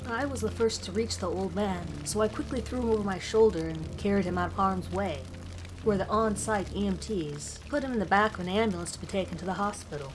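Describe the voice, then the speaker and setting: A woman's voice: mid-range voice, fairly quick, low-quality recording. Woman narrating horror over prominent music. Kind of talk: scripted narration of a creepy first-person story. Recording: music behind the voice.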